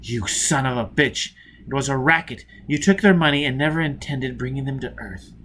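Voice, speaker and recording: A man's voice: medium pitch, moderate pace, a bit bright, a little hiss or hum, close to an everyday voice. A man voicing a vengeful, merciless spaceman who presses his captive with blunt, taunting questions in a science fiction audiobook. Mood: angry.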